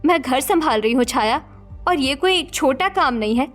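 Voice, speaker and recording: A woman's voice: high voice, expressive, normal speed, soft background music. A woman voicing a stern, exasperated elder sister and housewife in a cartoon story, lecturing and scolding. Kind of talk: Hindi cartoon story voice acting.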